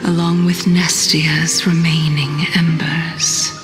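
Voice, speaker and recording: A woman playing an ancient goddess in a video game, composed and teasing, with measured, poised delivery. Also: sits low, level tone. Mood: composed, musing. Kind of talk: video game character acting. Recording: long reverb, music behind.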